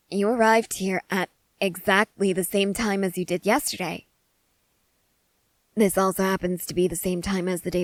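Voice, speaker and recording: Girl voicing an excitable lady scientist in an asmr roleplay, wordy n curious, pretty dry close sound. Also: mid-range voice, dry recording, lightly acted. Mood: excited wonder. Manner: eager, wordy, apologetic, formal phrasing. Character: excitable, curious woman scientist studying a wild wolf. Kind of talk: scripted ASMR audio roleplay to the listener.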